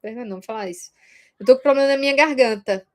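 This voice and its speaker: A woman with a Brazilian Portuguese accent speaks in a fairly high register. She teaches as if chatting directly with her students, explaining informally and adding little tag questions to check that they follow. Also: rapid-fire. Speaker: Reiki course teacher.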